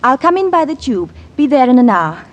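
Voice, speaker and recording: An actress playing a genteel, wistful young wife in an old-time radio drama, speaking politely and with some hesitance. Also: female voice, high-pitched, very animated, a little fast, highs cut off, soft background music. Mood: playful, fond. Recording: noisy old radio broadcast recording.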